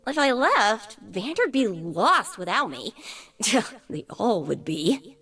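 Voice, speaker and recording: A woman's voice: fairly high pitch, big pitch swings, takes it slowly, a bit bright, slightly rough, heavily acted. A woman playing a brash, playful young female troublemaker for a video game, with theatrical, cheeky delivery. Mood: wry. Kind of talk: video game character voice lines.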